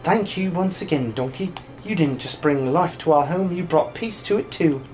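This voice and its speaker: A man voicing a polite, earnest villager with gentle, formal speech in a game dub. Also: on the high side, lively intonation, moderate pace, natural voice. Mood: grateful, earnest.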